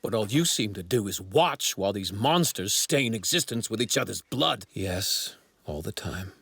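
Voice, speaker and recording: An actor in an English anime dub playing a haughty young divine apprentice with cold contempt for mortals, speaking in formal, pompous, precise phrases. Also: male voice, fairly low pitch, expressive, moderate pace, gravelly, a bit bright, slightly rough, no echo, heavily acted. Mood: grave disdain.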